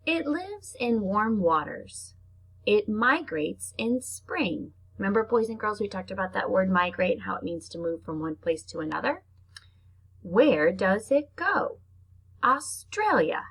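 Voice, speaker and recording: A woman's voice: medium pitch, big pitch swings, measured pace, a bit bright, breathy edge, low-quality recording. A woman teacher reading aloud to young children, explaining things simply in chatty asides between carefully read sentences. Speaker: elementary teacher reading to pupils.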